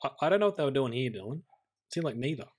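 A man co-hosting a podcast in a casual film review chat, haltingly thinking through and rephrasing his points. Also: medium pitch, big pitch swings, husky. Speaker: podcast co-host.

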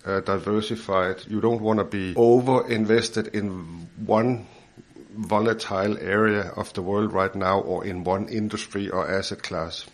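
A man's voice: lowish voice, steady tone, unhurried. A man in his fifties from Denmark with a Danish accent, a financial adviser chatting in a studio podcast interview, repeating words and chuckling as he explains.

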